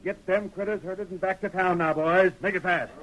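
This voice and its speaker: An actor playing a brisk, bossy Old West sheriff with a folksy rustic American accent in a 1930s radio western. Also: male voice, high-pitched, steady tone, fairly bright tone, low-quality recording. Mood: commanding.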